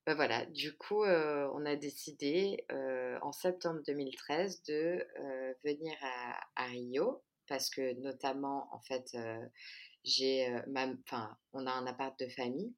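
A woman's voice: extremely low pitch, measured pace. A woman in her thirties, a podcast guest talking casually and stopping often with fillers and restarts. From France. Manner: hesitant, many fillers and restarts. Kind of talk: casual chat.

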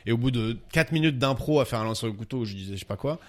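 A man's voice: mid-range voice, a crowd in the background. A man, a podcast guest, telling a funny anecdote in casual chat. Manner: fast, animated anecdote telling with laughs.

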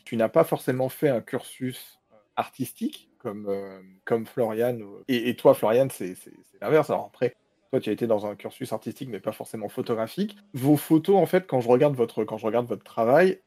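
A man's voice: mid-range voice, dark tone. A young man hosting a podcast interview, asking long questions and rephrasing them with restarts. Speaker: podcast host.

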